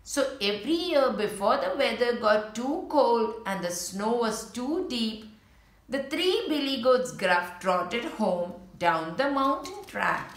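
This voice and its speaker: A woman reads a children's storybook aloud in a high, very animated voice. She takes her time, addressing young listeners and showing them the pictures. The room adds a clear echo around her words. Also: takes it slowly. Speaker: children's story reader and channel host.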